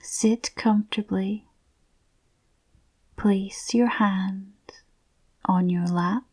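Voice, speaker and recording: A woman softly narrating a scripted guided visualisation in a soothing, breathy, near-whispered voice. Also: medium pitch, steady tone, drawn-out words.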